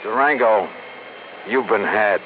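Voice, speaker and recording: An actor playing a wry insurance investigator in a 1950s radio drama, talking and narrating with dry humor. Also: male voice, lowish voice, slow, slight background noise. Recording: tinny old radio broadcast with music.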